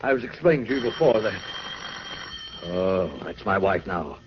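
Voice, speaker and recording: Flustered, swooping radio-drama husband. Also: male voice, sits low, animated, normal speed. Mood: anguished, dramatic. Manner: stammering, theatrical. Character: nervous, self-pitying husband, flustered and melodramatic. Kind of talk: 1940s radio drama acting. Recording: dull, noisy 1940s radio recording.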